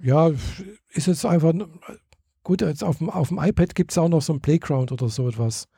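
A man's voice: on the high side, lively intonation, normal speed, creaky, mellow, breathy edge, no echo, studio quality. A man, chatting casually and hesitantly, with many fillers and restarts. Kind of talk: casual chat.

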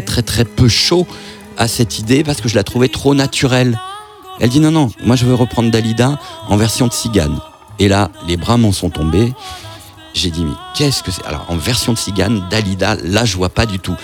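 A man talking in an interview, pausing often with fillers and restarting his sentences as he speaks. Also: lowish voice, darkish tone. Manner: hesitant, many fillers and restarts. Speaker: festival programmer. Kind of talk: interview soundbite.

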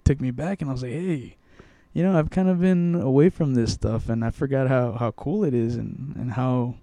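Young man, from Mexico, casual interviewee, dark smooth tone, close and dry, halting delivery. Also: mid-range voice, dry recording. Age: young adult. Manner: rambling, many restarts and fillers.

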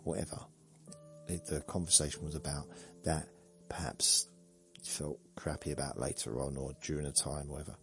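Male host, very low voice, drowsy deadpan rambler, faint music bed. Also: vocal fry. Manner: slow, meandering, deadpan humor. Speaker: podcast host. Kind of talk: rambling sleepy monologue.

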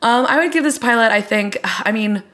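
A woman's voice: a little high, normal speed, fairly bright tone. A young woman co-hosting a podcast, reviewing TV in casual, opinionated, slangy talk peppered with "like". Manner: slangy, opinionated, heavy use of "like". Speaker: podcast co-host.

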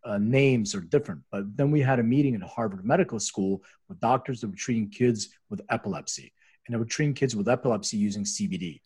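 A man's voice: fairly low pitch, quick pace, darkish tone, slightly rough. A middle-aged American man telling his story conversationally as a podcast guest, in an informal, rambling, explanatory way. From Philadelphia, US, born in Lithuania. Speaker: interview guest, medicinal cannabis company founder.

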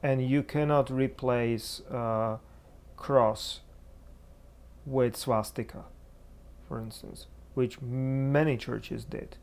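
Male Czech-accented preacher, unhurried and gravelly, thoughtful discussion guest who gropes for the right word. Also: mid-range voice, steady tone, darkish tone. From Czech Republic. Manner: halting, searching for words. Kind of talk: reflective theological discussion.

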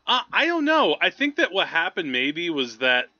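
A man co-hosting a podcast in casual conversation, animated and often feeling his way through a thought. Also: high voice, moderate pace, no echo, lo-fi sound. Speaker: podcast co-host. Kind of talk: casual movie chat.

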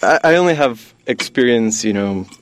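A man's voice: medium pitch, lively intonation, moderate pace, slight background noise. A man in his twenties from the United States, a guest in a casual chat, explaining things in a friendly way and laughing often. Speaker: guest, graduate student.

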